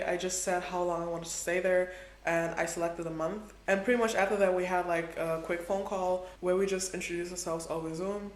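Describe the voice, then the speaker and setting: A man in his twenties chatting casually in a travel vlog, filling his talk with "like". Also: high-pitched. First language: Portuguese is his second language. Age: 20s. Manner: chatty, heavy use of "like". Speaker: travel vlogger. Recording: echoey room with faint ambience.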